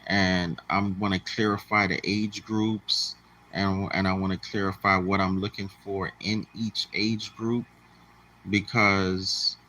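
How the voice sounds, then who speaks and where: A man close to fifty talks bluntly to his audience, repeating his points like a lecture. His voice sits on the low side but carries a bright, forceful edge. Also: little pitch movement, takes it slowly, low-quality recording. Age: 40s. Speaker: channel host. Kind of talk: casual monologue to the camera.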